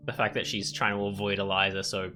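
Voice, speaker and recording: Bright-voiced man giving casual game commentary. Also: lowish voice, flat delivery, faint music behind. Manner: thinking aloud, halting. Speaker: gaming video creator. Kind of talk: casual let's play commentary.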